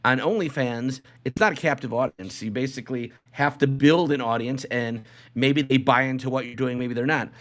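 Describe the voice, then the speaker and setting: A man's voice: medium pitch, normal speed, fairly bright tone. Rambling man in casual podcast banter. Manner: rambling, repeats phrases. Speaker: podcast co-host.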